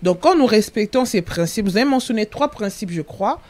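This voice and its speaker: A woman's voice: medium pitch, expressive, brisk, a little mellow, no room sound. Woman interviewer, dry close sound. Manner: summarizes and rephrases the guest's points. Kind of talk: talk show interview.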